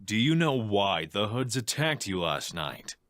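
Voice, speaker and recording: A man's voice: fairly low pitch, very animated, measured pace, a bit bright, no room sound, clean studio sound. A man playing a blunt, suspicious police inspector in an audio crime drama. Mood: businesslike, suspicious.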